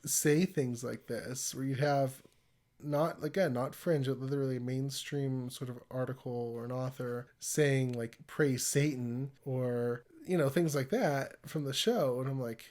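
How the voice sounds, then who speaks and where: A man's voice: mid-range voice, measured pace. Stuffy-nosed man chatting casually. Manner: rambling, animated storytelling with laughs. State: congested from a cold. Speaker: podcast host. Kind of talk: casual conversational podcast.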